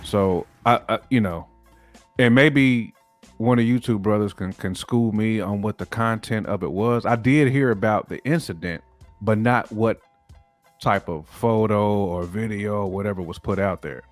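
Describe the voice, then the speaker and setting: Low, dry-sounding American man chatting. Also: normal speed, no echo, faint music behind. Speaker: podcast panelist. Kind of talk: casual panel chat. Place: indoor room on a video call.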